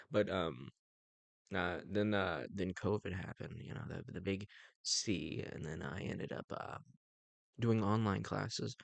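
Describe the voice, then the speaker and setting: A young man rambles through a casual livestream chat in a low, gravelly voice. He chuckles often and keeps restarting his sentences around fillers, and the sound is dry and close. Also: no echo. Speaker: streamer.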